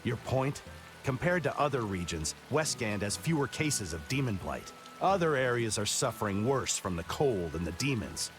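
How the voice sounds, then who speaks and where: A man's voice: medium pitch, sing-song, normal speed, close to an everyday voice. A man playing a stubborn, hopeful middle-aged farmer and father in a video game, with an earnest, firm delivery. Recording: music behind.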